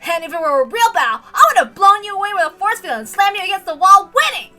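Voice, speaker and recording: A woman's voice: extremely high pitch, very animated, quick pace, pushed and bright, faint music behind, pitched above the performer's own voice, exaggerated voice. A woman voicing a short, hot-headed young male fighter who boasts and scolds emphatically in an audiobook-style drama reading. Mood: indignant, bragging.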